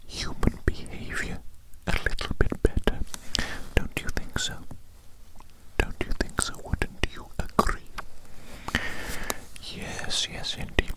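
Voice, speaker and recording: A performer voicing a polite, eerily eager alien posing as a friendly doctor, in a breathy, repetitive, coaxing whisper for an up-close ASMR roleplay. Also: natural voice, poor audio. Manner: slow, repetitive, formal and coaxing. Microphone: very close microphone.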